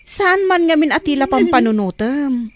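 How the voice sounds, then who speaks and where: A woman's voice: high-pitched, animated, normal speed. A woman playing a firm, caring older sister. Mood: concerned. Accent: Ilocano. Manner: questioning, advising. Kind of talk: hobby radio drama.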